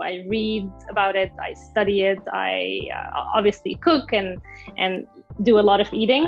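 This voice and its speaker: Young Egyptian woman, slightly high voice, relaxed and thoughtful, explains with fillers; muffled video-call audio. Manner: thoughtful, explains step by step with many fillers and restarts. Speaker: guest food creator. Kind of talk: casual explanatory chat.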